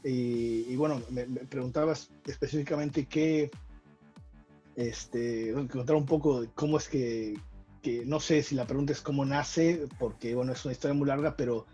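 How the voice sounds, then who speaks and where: Mellow-voiced Mexican man, halting speech. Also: mid-range voice, steady tone, husky, lo-fi sound, faint music behind. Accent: Mexican Spanish. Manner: hesitant, many restarts and fillers. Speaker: guest, traveling football fan. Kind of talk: casual interview talk.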